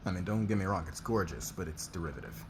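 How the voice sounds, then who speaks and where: A man acting a demanding, curt fashion boss who gets flustered by attraction, in an ASMR roleplay with clipped orders and hesitant pauses. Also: low-pitched, lively intonation, noisy background. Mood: curt, pensive.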